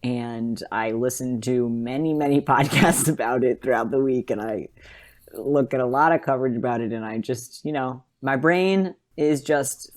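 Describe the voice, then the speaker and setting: This is a podcast co-host with a medium-pitched voice, talking in a casual fan-chat style. The delivery is effusive and gushing, with phrases repeated for emphasis. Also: normal speed.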